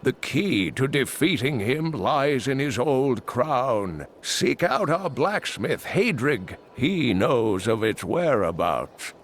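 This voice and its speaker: An actor playing an old male scholar who tells lore in a slow, measured way. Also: mid-range voice, big pitch swings, a little hiss or hum. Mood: grave. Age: older.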